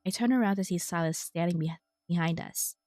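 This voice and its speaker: A woman's voice: on the low side, a little fast, dark tone, faint music behind. A woman streaming a story game, reading its text aloud expressively with casual, wry asides. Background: game soundtrack.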